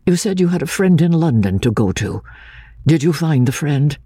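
A woman as an earnest, husky-voiced Victorian gentleman. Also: low voice, sing-song, dry recording, heavily acted. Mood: gently coaxing. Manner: measured, deliberate, persuasive. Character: earnest, cautious gentleman narrator, gently coaxing and reassuring. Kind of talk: dramatic audiobook reading of classic literature.

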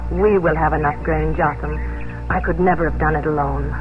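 An actress playing a young, proud but kindly colonial farm mistress, proper, refined and earnest, in a 1950s radio drama. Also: female voice, sits low, fairly even intonation, brisk, narrow, tinny audio, lightly acted. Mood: warm, earnest. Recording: band-limited old radio broadcast with music.

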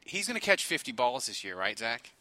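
An American man co-hosting a sports talk show, bantering casually in a halting, excitable, exaggerating fan's way. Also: mid-range voice, dry recording.

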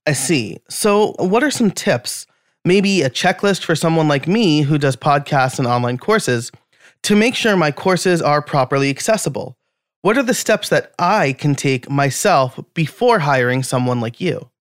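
A man's voice: on the high side, lively intonation, a little slow, dry recording, clean studio sound. A man hosting a podcast, asking interview questions in a friendly, conversational way. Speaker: podcast host.

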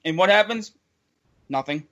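High-pitched young male host, frustrated, repeats himself, casual sports banter. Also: animated, moderate pace, fairly bright tone, highs cut off. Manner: frustrated, repetitive, incredulous. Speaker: podcast host.

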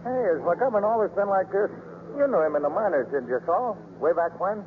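High-voiced ballplayer, old radio. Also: male voice, animated, fairly quick. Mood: uneasy. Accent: American. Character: male ballplayer, teammate of the angry manager. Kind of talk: 1950s American radio drama acting. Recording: noisy, tinny old radio broadcast.

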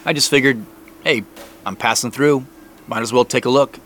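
An actor playing a polite, casual out-of-town visitor in an audio drama, easygoing and a little apologetic. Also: male voice, medium pitch, moderate pace, fairly bright tone, a little hiss or hum, close to an everyday voice.

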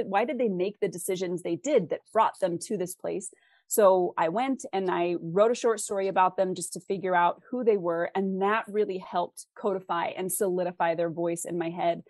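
A woman with a West Coast American accent talks in a fairly low register, recorded very dry. She is an interview guest who explains things thoughtfully and articulately, often framing points as questions. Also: normal speed, darkish tone, no echo. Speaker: guest author.

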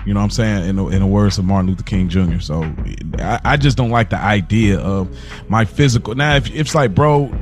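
A man's voice: on the low side, a little fast, soft background music. An American man co-hosting a podcast in casual chat, slangy and emphatic, often repeating himself for stress. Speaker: podcast co-host.

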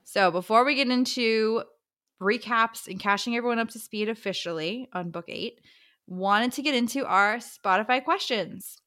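A woman's voice: fairly high pitch, normal speed, fairly bright tone. A woman hosting a book podcast, chatting casually in a slangy, exclamatory way. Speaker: podcast host.

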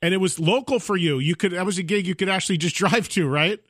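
A man's voice: high voice, quick pace, fairly bright tone, dry recording, studio quality. A middle-aged man hosting a rock interview show, chatty and enthusiastic. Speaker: radio and podcast host. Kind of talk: interviewing a guest.

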